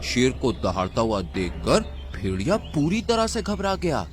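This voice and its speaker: Animated male storybook narrator. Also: mid-range voice, moderate pace, music in the background. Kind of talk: scripted children's story narration.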